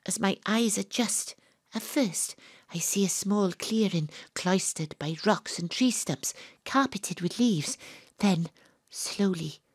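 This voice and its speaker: An actress with a Welsh accent playing an excitable young woman investigator in an audio drama, with breathless, suspenseful delivery. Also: medium pitch, takes it slowly, husky, no room sound, studio quality. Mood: hushed, tense. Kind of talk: audio drama narration and dialogue.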